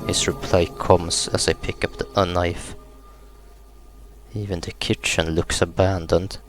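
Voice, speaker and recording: Male horror game narrator, deep and even-toned, measured reading of on-screen text, clear game music underneath. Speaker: gaming YouTuber reading a visual novel aloud. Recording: music behind the voice.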